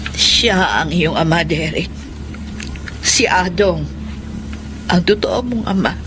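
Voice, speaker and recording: A woman's voice: fairly low pitch, lively intonation, slow pace, noisy background. A woman playing an anxious middle-aged adoptive mother, earnest and dramatic, in a Tagalog radio drama. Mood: remorseful, solemn.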